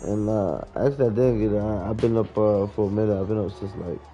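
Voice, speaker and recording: A man chatting casually while gaming, informal, slangy and relaxed, with some swearing. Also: on the low side, fast, highs cut off, soft background music. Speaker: gamer playing and recording. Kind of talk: casual chat, slangy and profane.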